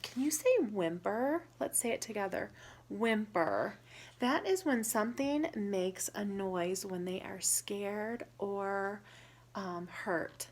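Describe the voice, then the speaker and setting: Slow-paced woman reading to children. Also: medium pitch. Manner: teacherly, prompts listeners and explains words simply. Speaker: storyteller reading a picture book aloud. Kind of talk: children's read-aloud with questions and word explanations.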